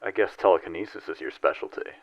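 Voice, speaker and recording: An actor playing a cool, loyal psionic agent in a sci-fi audio drama, speaking in a measured, dutiful manner. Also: male voice, low-pitched, no echo, lo-fi sound. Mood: instructive, modest.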